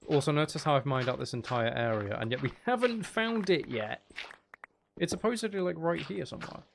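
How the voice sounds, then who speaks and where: A man's voice: a little high, lively intonation, a little fast, creaky, a little hiss or hum. A man giving casual, rambling gameplay commentary, thinking aloud as he plays. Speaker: gaming video creator playing Minecraft.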